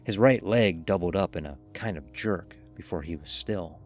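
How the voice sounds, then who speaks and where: An actor playing a cold, ruthless, dying rich gambler narrating a murder in a brooding, deliberate, theatrical horror style. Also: male voice, deep voice, soft background music. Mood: cold, menacing. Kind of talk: dramatic first-person horror audio narration.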